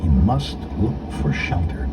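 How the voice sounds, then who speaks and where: A man narrating a children's storybook in an expressive, deliberate, scripted storytelling delivery. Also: low-pitched, noisy background. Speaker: audiobook narrator.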